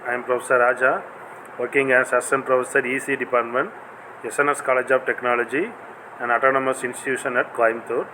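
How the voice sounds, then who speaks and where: Man, South Indian accent, mid-pitched voice, formal lecturer reading from a script, slight room hum behind. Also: little pitch movement, fast talker. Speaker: assistant professor giving a video lecture.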